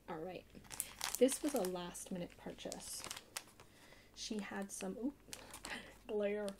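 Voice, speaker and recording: Casting: female ASMR haul host, soft-spoken and half-whispering, mellow and creaky, casual delivery. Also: mid-range voice, a little hiss or hum, low-quality recording. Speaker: video host. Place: indoors at a table.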